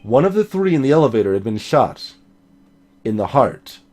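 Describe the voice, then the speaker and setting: A man's voice: mid-range voice, big pitch swings, normal speed, a little mellow. A man doing a let's play, reading game dialogue dramatically with playful, wry asides. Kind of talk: dramatic read-aloud of game script with joking asides.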